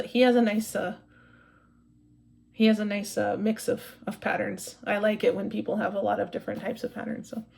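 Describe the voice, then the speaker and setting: A woman in her forties, originally from Maine, talks casually to her viewers about her stitching hobby. She rambles, restarts her sentences and fills the gaps with plenty of "um"s, in a friendly everyday voice at a middle pitch. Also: gravelly. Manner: chatty, rambling, self-correcting with many fillers. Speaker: cross-stitch vlogger. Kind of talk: casual chat to camera.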